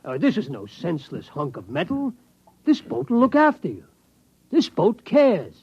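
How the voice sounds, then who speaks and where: A man's voice: sits high, huge pitch swings, normal speed, slightly rough, full character voice. An actor playing an eager, slick junk dealer in a radio drama, with gushing, emphatic salesman's patter. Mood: gushing sales pitch. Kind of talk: scripted radio drama acting. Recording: muffled, noisy old radio recording.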